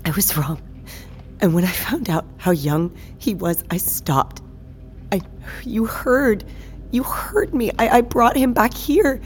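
A woman's voice: mid-range voice, takes it slowly, slight background noise, close to an everyday voice. A woman acting a guilt-ridden wrongdoer in an audio drama, pleading for forgiveness in faltering phrases that can sink to a whisper. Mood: guilty, defensive. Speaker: actor in a fiction podcast. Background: faint music.

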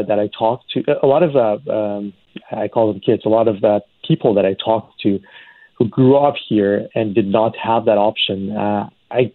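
Man, low voice, hesitant guest over a tinny phone line, dry. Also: no echo. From Iran. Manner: halting, many fillers and restarts. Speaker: community advocate guest. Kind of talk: radio interview answers.

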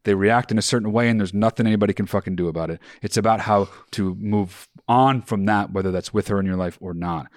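A man co-hosting a podcast, giving blunt, profane advice in a slow, deliberate way. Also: fairly low pitch, no room sound, clean studio sound. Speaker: podcast co-host.

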